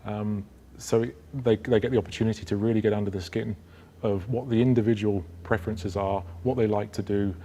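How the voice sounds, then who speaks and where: A man answering interview questions about a yacht dealer network, measured, with frequent ums and restarts. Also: fairly low pitch, steady tone, mellow, in a large hall. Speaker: company spokesman.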